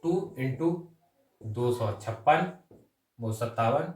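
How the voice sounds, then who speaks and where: A man's voice: medium pitch, animated, slow pace, darkish tone. A man teaching an exam-coaching maths lesson, working through sums step by step, mixing in English terms and often adding short check-in phrases. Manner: mixes English number words and terms into the talk. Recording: roomy echo, distant microphone.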